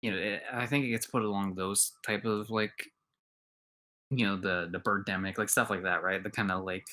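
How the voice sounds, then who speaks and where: A man's voice: on the low side, steady tone, moderate pace, pushed and bright. A man co-hosting a podcast in casual chat, rambling with lots of filler words. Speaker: podcast co-host.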